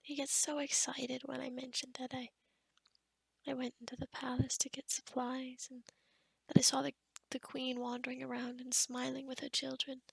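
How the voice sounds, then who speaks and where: Girl acting as a sweet awkward forest witch, high soft voice, kinda crackly, asmr roleplay. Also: completely flat delivery, moderate pace, vocal fry, husky, dry recording, exaggerated voice. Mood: wistful, hushed. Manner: hesitant, rambling, softly spoken with whispery stretches. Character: kind, awkward young forest witch, protective of her frog. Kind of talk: ASMR roleplay addressed to the listener.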